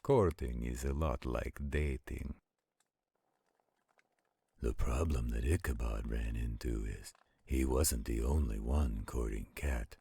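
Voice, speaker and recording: Very deep, gravelly gentle werewolf. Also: male voice, no echo, exaggerated voice. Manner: slow, soothing, patient. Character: gentle protective adult male werewolf reading aloud. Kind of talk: roleplay audio storytelling.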